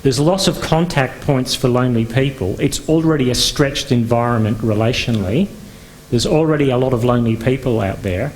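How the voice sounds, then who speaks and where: A man who grew up in Northern Ireland speaks at a church conference in an easygoing, halting way, full of ums and restarts. His pitch sits in the middle of the range. A faint hum runs under the recording. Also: darkish tone, low-quality recording. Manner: conversational, halting with frequent fillers and restarts. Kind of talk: conference talk with slides. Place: conference venue.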